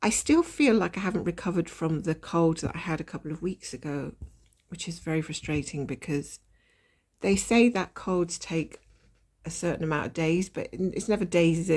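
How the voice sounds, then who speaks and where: A woman with a fairly low voice chats through a stitch-along from her desk. A lingering cold leaves her sounding nasal and slightly hoarse. She talks in a relaxed, apologetic way, laughing softly now and then. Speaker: cross-stitch vlogger. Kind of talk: casual stitch-along chat. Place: at a desk indoors.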